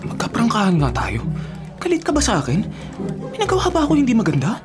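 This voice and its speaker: A man's voice: very high voice, huge pitch swings, fast, exaggerated voice. A shy, earnest young Filipino office newcomer. His delivery is hesitant but very melodic, in radio drama style with music behind it. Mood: frank, pleading. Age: young adult. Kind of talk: Tagalog radio drama acting with inner monologue.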